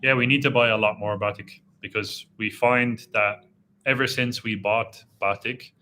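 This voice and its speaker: A young man with a slight Irish accent, chatting casually on a live stream and reading viewer comments aloud in a relaxed, rambling way. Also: lowish voice, moderate pace. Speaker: travel vlogger hosting a live Q&A. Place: indoors in a dim room.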